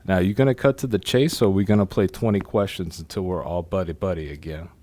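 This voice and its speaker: A man playing a wary, gruff retired crook who is suspicious of an old partner, in an audio drama. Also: sits low, quick pace, dark tone, a little hiss or hum, lightly acted. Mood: sarcastic. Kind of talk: amateur audio drama acting.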